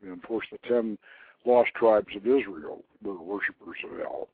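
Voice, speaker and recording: A man hosting a radio show, explaining things informally in a halting way, repeating and rephrasing as he goes. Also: fairly low pitch, breathy edge, dry recording. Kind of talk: informal explanatory talk, taking calls. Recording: narrow phone-like internet radio line.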